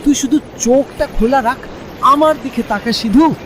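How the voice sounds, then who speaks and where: A man voicing a loyal village fisherman, emotional and exclamatory, in an animated moral story. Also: extremely high pitch, moderate pace, slight background noise. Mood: worried. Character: loyal, anxious village fisherman and devoted friend.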